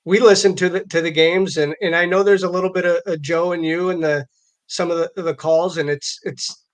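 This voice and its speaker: An American man hosting a casual chat, halting and repeating words as he looks for his phrasing. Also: on the high side, a bit bright. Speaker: live show host interviewing a guest.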